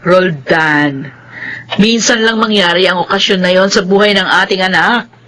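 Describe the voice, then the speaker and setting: An actress playing a caring middle-aged mother and wife in a Filipino radio drama, expressive and gentle. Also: on the low side, moderate pace, close to an everyday voice. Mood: pleading.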